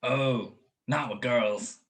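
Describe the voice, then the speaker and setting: A man playing a dopey, impulsive young ex-college football player in a radio-style comedy mystery, blustering and excitable. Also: mid-range voice, very slow. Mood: sheepish, boastful.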